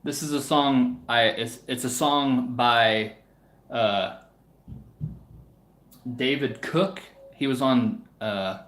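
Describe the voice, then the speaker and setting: A man's voice: mid-range voice, steady tone, slow pace, pauses often, fairly bright tone. A man chatting casually to viewers as a livestream host, in a hesitant, rambling way that often trails off. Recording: roomy, echoing room sound.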